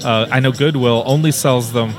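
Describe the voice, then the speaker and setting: A man's voice: mid-range voice, steady tone, music in the background. A man co-hosting a casual chat at a convention, joking and teasing. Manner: joking, quick to laugh, teasing.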